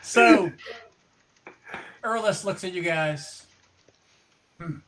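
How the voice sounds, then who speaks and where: Game master for online tabletop play: conversational, improvised, halting narration, medium pitch, audible background noise. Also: fairly bright tone. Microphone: online group call.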